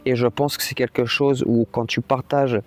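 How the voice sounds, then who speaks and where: A man's voice: mid-range voice, level tone, normal speed. A man whose native language is Portuguese, talking in a casual, unscripted vlog in a rambling, blunt and colloquial way. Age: adult, parent. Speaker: YouTuber, host.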